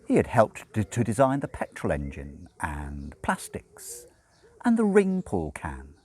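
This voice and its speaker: A man reading a novel aloud as expressive narration, with measured, dramatic phrasing and deliberate pauses. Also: lowish voice, slightly rough. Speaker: audiobook reader.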